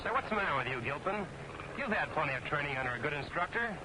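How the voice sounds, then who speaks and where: An actor playing a dry, gruff American flight instructor, sardonic and scolding, in 1940s film serial style. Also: male voice, on the high side, big pitch swings, quick pace. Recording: very noisy vintage airfield soundtrack.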